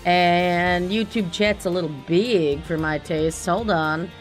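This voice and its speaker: Slightly raspy woman chatting over a playthrough. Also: fairly low pitch, steady tone, takes it slowly, soft background music. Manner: chatty, jokey asides to chat. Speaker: vtuber streamer. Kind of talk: playthrough commentary.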